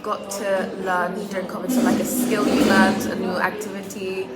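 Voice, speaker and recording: A woman's voice: medium pitch, flat delivery, normal speed, heavy background noise. A woman co-hosting a podcast, chatty and laughing between her questions. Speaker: podcast co-host. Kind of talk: casual interview. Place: large indoor hall. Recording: echoey hall with loud crowd. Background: loud crowd chatter.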